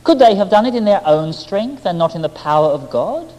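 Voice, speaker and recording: A man voicing a serene, tender woman who personifies self-control, coaxing gently and earnestly. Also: sits high, sing-song, brisk, voice pushed up. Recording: long hall-like reverb.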